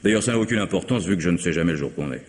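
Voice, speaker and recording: An actor playing a wry, cheeky vagabond who scrounges drinks, with sardonic, drawling banter, in a vintage radio drama. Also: male voice, deep voice, expressive, very fast, a little mellow. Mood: sly. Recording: old radio recording, long reverb, faint hiss.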